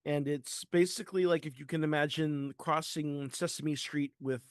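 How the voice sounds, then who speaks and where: A man's voice: on the high side, steady tone, moderate pace, clear, resonant tone, dry recording. Hesitant man from San Diego, dry mic. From San Diego, California. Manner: hesitant, full of ums and restarts. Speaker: podcast co-host. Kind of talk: casual podcast chat.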